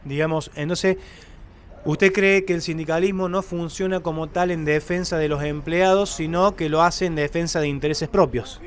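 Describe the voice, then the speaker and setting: A man's voice: fairly high pitch, steady tone, normal speed, slight background noise. A man with an Argentine accent, a street reporter asking long, argumentative leading questions. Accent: Argentine Spanish. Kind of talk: pointed interview questions. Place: city street outdoors.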